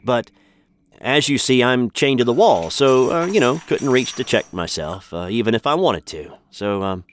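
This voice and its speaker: A man's voice: mid-range voice, expressive, moderate pace, a little hiss or hum, close to an everyday voice. A man playing an exasperated, awkward American captive in a radio sitcom, polite but indignant, with an American accent. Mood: uneasy, reluctant. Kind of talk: comedy radio sitcom acting.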